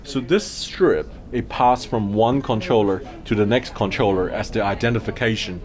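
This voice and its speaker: A man's voice: lowish voice, normal speed. A man hosting a casual on-location chat as an inquisitive, enthusiastic aviation fan. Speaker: video host, aviation enthusiast. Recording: music playing behind the voice. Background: music.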